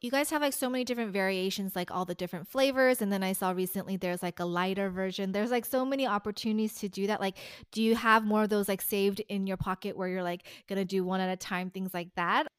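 An upbeat woman interviewer chats casually and sprinkles her questions with "like" and quick laughs. Her voice is smooth and clear. It is recorded close and dry, with no room sound. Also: medium pitch, steady tone, dry recording. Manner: upbeat, lots of "like", bright laughs. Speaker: podcast interviewer.

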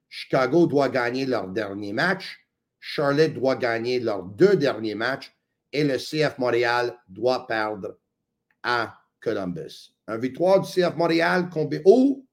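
A man with a Quebec French accent hosting a sports podcast, lively and joking, with quick asides. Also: medium pitch, no echo, studio quality. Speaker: podcast host. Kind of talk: casual sports talk.

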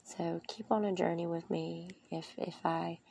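Gravelly, soothing-voiced woman podcaster. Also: lowish voice, fairly even intonation, a little slow. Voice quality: soft, soothing voice. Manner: earnest, rambling, with restarts and asides. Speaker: podcast host. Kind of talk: heartfelt motivational monologue.

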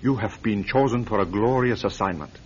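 An actor playing a pompous, commanding major who speaks English in a vintage radio drama. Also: male voice, fairly low pitch, a little fast, husky, muffled recording. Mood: grandiose. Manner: grand, ceremonious, commanding. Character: pompous high-ranking Nazi major. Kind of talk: 1940s radio acting.

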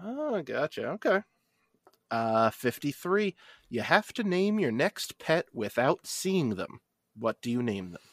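A podcast host from New Jersey asking playful rapid-fire questions in a casual chat. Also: mid-range voice, sing-song, a bit bright, dry recording, clean studio sound.

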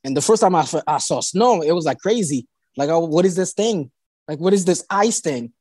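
Casting: man from Haiti, fairly high voice, informal halting storyteller who repeats himself, dry close sound. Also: animated, a little mellow, no room sound. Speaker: interview guest. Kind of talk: casual personal storytelling.

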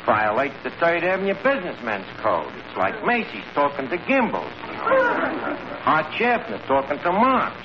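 An actor with a New York accent playing a brash, scheming tavern manager in a radio comedy, wisecracking and mangling big words with mock pomp. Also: male voice, on the high side. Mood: indignant. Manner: wisecracking, mock-pompous explanations full of malapropisms. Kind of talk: 1940s radio comedy acting. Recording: noisy, muffled old radio recording.

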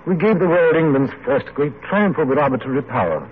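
A man's voice: on the high side, big pitch swings, fairly quick. An actor playing a genial, reflective older English man of letters, courtly and oratorical, in an old radio play. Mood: proud, earnest. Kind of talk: 1940s radio drama acting. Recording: muffled, noisy vintage radio recording.

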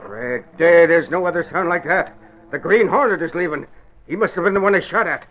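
An actor playing a brisk, commanding police sergeant barking urgent orders in an old-time radio drama. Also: male voice, fairly high pitch, slight background noise, natural voice. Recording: muffled old radio recording.

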